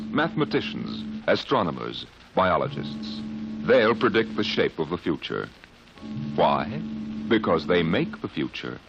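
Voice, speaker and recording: An actor playing a grave, theatrical narrator of a science fiction radio drama from the 1950s. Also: male voice, low-pitched, creaky, full character voice. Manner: portentous, deliberate, theatrical. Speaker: announcer and narrator. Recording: dull old radio broadcast recording. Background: dramatic music.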